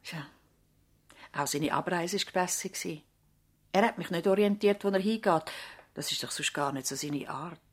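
A woman's voice: on the low side, moderate pace, pauses often, close to an everyday voice. A woman acting the part of a devoted, worried village nurse in a 1970s radio drama, speaking earnestly and politely. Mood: concerned. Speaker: actor in a radio play.

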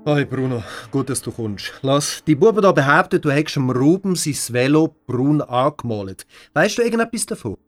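Animated protective father. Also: fairly high pitch, moderate pace, few pauses. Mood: caring. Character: protective father, indignant but caring. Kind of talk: audio story dialogue for children.

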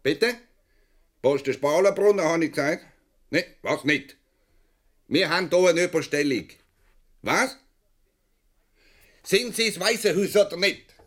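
A fussy Swiss policeman in a radio play, speaking with a Swiss German accent. His pitch leaps about as he swings between officious announcements and grumbling. He is easily exasperated and quick to curse. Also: expressive, a bit bright. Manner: officious on the telephone, muttering and cursing otherwise.

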